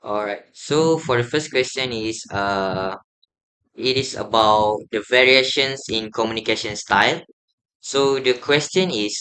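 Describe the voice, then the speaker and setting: A man's voice: medium pitch, bright, forceful tone, rough recording. A young man, politely asking interview questions as a student, with fillers. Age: young adult. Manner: polite, with fillers and brief acknowledgments. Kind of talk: semi-formal interview questions.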